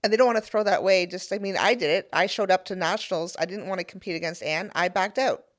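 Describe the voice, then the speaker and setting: A Canadian woman with a slightly low voice is a guest on a podcast. She tells her story in a casual, conversational way. She speaks earnestly and leans hard on her key points. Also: fast talker.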